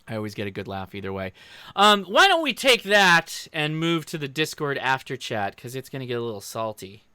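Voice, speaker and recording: A man's voice: medium pitch, big pitch swings, a little fast, sharp tone, slight background noise. An American man hosting a casual livestream, informally joking and talking directly to viewers as he answers their questions. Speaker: livestream host.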